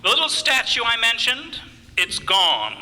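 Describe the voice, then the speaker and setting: Male actor as fussy insurance man, radio drama, prim and excitable, piercingly bright, echoey hall. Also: very high voice, a little slow, exaggerated voice. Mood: fussy, earnest. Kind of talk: old-time radio detective drama acting. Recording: echoey hall, live stage performance.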